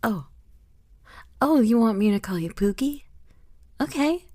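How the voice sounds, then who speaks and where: A woman's voice: fairly high pitch, animated, measured pace, dark tone. A woman playing a doting werewolf girlfriend in a comedic ASMR roleplay, gushing in baby talk with pet names. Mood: groggy, affectionate. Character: doting, sugary werewolf girlfriend home tired from work.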